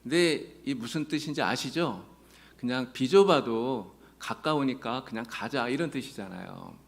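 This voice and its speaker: Man, pastor, gravelly voice, mid pitch, earnest sermon, church sanctuary. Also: normal speed. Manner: earnest, addresses congregation directly; tells folksy jokes between teaching.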